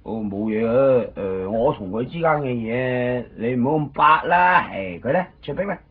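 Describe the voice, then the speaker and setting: An actor playing an excitable, anxious young man in a 1990s Cantonese radio drama, speaking colloquial Hong Kong Cantonese as he lives and narrates his story. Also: medium pitch, moderate pace, slightly rough. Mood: nosy, casual. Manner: colloquial, expressive. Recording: dull 1990s radio recording, loud music behind. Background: music.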